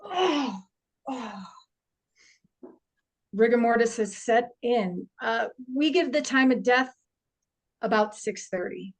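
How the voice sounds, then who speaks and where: A woman plays a middle-aged forensic investigator in a horror film script. Her voice is a touch high. She is matter-of-fact and wry, speaking in a hesitant, conversational way. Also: animated, takes it slowly, long pauses, exaggerated voice. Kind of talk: actor's table read of an indie horror film.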